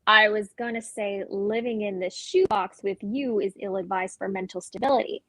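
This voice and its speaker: A woman's voice: mid-range voice, steady tone, moderate pace, a bit bright, natural voice, rough recording. A woman playing a weary, wry, guarded young suburban woman in a dramatic script reading, speaking in a dry, understated way.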